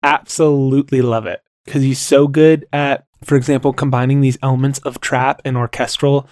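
A man of average pitch speaks in a casual, conversational way, as a co-host on a podcast. His voice is recorded close, with hardly any room sound. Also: level tone, normal speed, a little mellow, dry recording.

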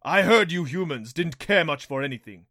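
A man voicing a cruel fanged beast in a fantasy audiobook, sneering and taunting with theatrical, drawn-out mock sorrow. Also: a little high, lively intonation, pushed and bright, no room sound, clean studio sound, pitched above the performer's own voice. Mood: mock regret. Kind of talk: audiobook character voice for a fantasy story.

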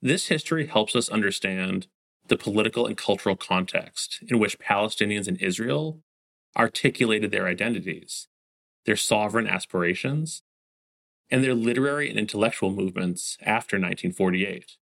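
A male host reads scripted narration, posing framing questions to the listener. His voice sits low and has a gravelly edge. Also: normal speed, a bit bright.

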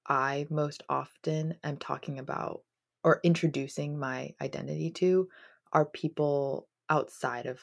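Woman, low-pitched, heavy vocal fry, casual podcast talk, matter-of-fact, conversational. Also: darkish tone. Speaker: podcast host. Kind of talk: casual discussion.

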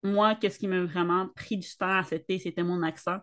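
A woman's voice: fairly low pitch, brisk. A young woman co-hosting a casual conversation, speaking candidly and personally. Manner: candid, personal, animated when quoting others. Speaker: podcast co-host.

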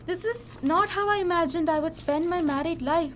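A woman's voice: very high-pitched, moderate pace, a bit bright. A young woman playing a discontented, reproachful wife who longs for luxury, in an amateur radio drama. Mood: bitter, reproachful. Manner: reproachful, sighing, dissatisfied. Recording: noisy amateur recording.

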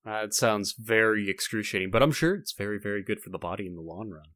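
A man's voice: lowish voice, fast talker, fairly bright tone, dry recording, studio quality. A man co-hosting a podcast in a casual, chatty style. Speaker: podcast co-host.

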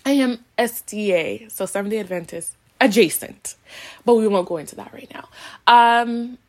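A woman's voice: medium pitch, normal speed, darkish tone. A woman in her late twenties from Canada, co-hosting a podcast in a casual, playful, sassy conversational style full of slangy asides and repeats. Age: late 20s. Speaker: podcast co-host. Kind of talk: casual self-introduction, conversational podcast talk.

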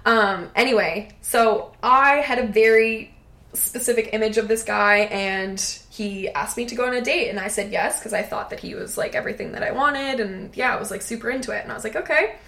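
A woman in her twenties, co-hosting a podcast, tells a dating story in a casual, candid, chatty way, with a lowish voice and plenty of "like". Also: fairly quick, gravelly, a bit bright. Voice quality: lowish, not high-pitched voice. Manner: chatty, lots of "like", candid and profane. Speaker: podcast co-host.

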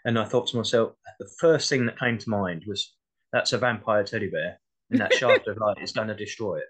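A man's voice: fairly low pitch, a little fast, fairly bright tone, slightly rough. A man speaking as an interview guest in a casual, modest and reflective way. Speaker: interview guest, playwright and actor.

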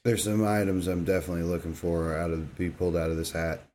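A man's voice: deep voice, fairly even intonation, quick pace, a little mellow, a little hiss or hum. A man bantering casually about video games as a podcast co-host.

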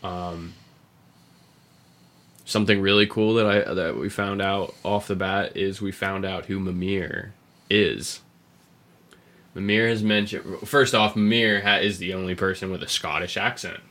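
A man hosting a podcast, explaining video game lore in a casual, rambling, sweary way, with a gravelly, husky voice. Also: sits low, steady tone, unhurried, fairly bright tone. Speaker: podcast host.

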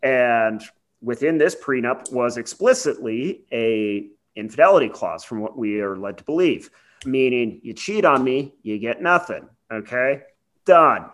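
Man, podcast co-host, medium pitch, casual legal explainer, conversational, wry. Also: expressive, unhurried, fairly bright tone.

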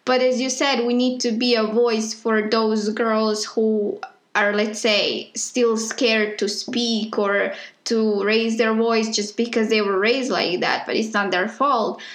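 A young woman hosting a casual conversation, talking quickly with lots of fillers. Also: fairly high pitch, fairly even intonation. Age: young adult. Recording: echoey room.

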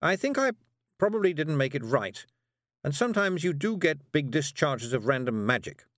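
A brisk, prim witch, voiced by a male narrator, speaks in a raised, flustered voice that swoops up and down. She keeps insisting she is calm while sounding on edge. The sound is dry and close, with no room around it. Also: on the high side, dry recording, pitched above the performer's own voice. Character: fussy nervous brisk woman witch. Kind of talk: audiobook character voice.

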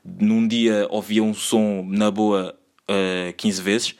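A man's voice: lowish voice, little pitch movement, drawn-out words, a little mellow. A man in his twenties with a Lisbon Portuguese accent, hosting his own podcast in a loose, rambling way full of slang and filler words. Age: 20s. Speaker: podcast host. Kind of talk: solo casual podcast monologue.

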